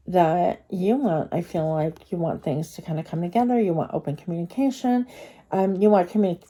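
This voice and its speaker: A woman's voice: fairly low pitch, dark tone. A woman with a cold and a congested voice, giving a casual, chatty tarot reading to her viewers with frequent fillers and restarts. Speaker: tarot card reader.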